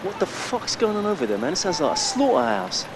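A man's voice: high-pitched, very animated, fairly quick, creaky, heavy background noise, exaggerated voice. A man playing an anxious friend helping out over the phone in a video game. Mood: worried urgency. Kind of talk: video game cutscene acting.

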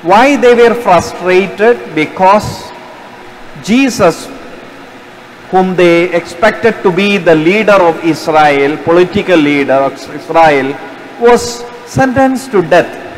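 High-voiced priest preaching in a reverberant cathedral. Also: male voice, lively intonation. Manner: slow and deliberate, gesturing with raised hands. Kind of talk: sermon. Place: large cathedral, at the pulpit. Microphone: stand mic at the pulpit. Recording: long cathedral reverb, faint background noise.

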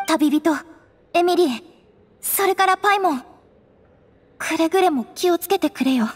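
A woman's voice: extremely high pitch, animated, normal speed. A woman voicing an earnest, caring teenage ranger girl in an anime game, talking in a boyishly informal way. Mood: earnest warning.

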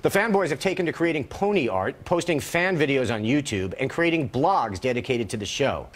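A man reading a news report in a measured tone. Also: medium pitch, lively intonation.

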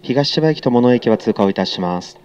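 A man with a mellow, mid-pitched voice gives polite, formal conductor announcements. His routine delivery comes through a dull, muffled train PA. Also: animated, a little fast. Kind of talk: live onboard announcement. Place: onboard train PA.

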